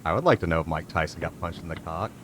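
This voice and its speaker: A man's voice: low voice, fast, noisy background. A man hosting a casual comedy reaction podcast with crude, mocking, profane banter. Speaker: podcast host.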